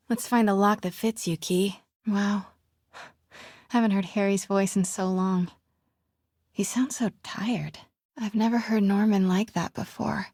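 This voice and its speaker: A woman playing a wry, curious, quick-witted young woman in a video game, muttering to herself as she sneaks around, with a breathy voice. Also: medium pitch, dry recording, studio quality. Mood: quiet, reflective. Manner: wry, hushed self-talk.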